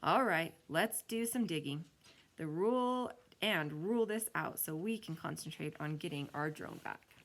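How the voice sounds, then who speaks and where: A woman's voice: deep voice, expressive, unhurried, exaggerated voice. A woman voicing a skeptical, exasperated schoolboy in a storybook, sighing and dismissive. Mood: grudging.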